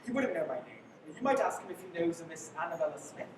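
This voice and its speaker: Animated, echoey wary fugitive played by a woman. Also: lowish voice, fast. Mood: hesitant, polite. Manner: hesitant, earnest. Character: wary young man on the run, wrongly accused. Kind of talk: live radio play acting. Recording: reverberant hall, distant mic, clear background noise.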